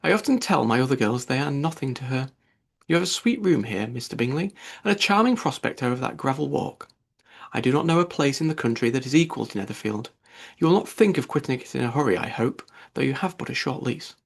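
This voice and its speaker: A man's voice: medium pitch, animated, a little fast, vocal fry. A man voicing a fussy, gushing, easily offended middle-aged mother in a classic novel audiobook, effusive and indignant.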